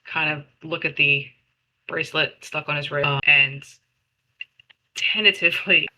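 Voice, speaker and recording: A woman with a very bright, tense voice roleplays at a tabletop game. She speaks earnestly and haltingly, circling back over her phrases as she improvises. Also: sits low, steady tone, low-quality recording.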